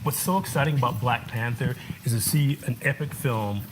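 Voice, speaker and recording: A man interviewing a guest in a casual conversation, asking animated, searching questions. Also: mid-range voice, moderate pace. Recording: noisy room background.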